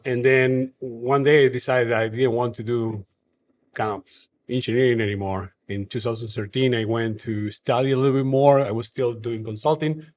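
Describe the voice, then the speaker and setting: Man, Argentine accent, brewmaster, casual interview, pauses and restarts often, mid-pitched. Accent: Argentine Spanish. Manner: halting, many fillers and restarts. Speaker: brewery co-founder and brewmaster.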